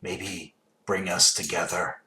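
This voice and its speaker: An actor playing a confident, sly, menacing hunter in a space video game, with a dry, taunting manner. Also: male voice, low-pitched, little pitch movement, takes it slowly, noisy background. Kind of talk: video game character acting.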